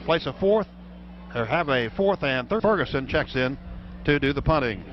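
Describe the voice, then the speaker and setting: A man's voice: mid-range voice, animated, normal speed. A man doing live play-by-play football commentary as a radio announcer. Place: stadium broadcast booth. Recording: noisy, dull radio broadcast recording.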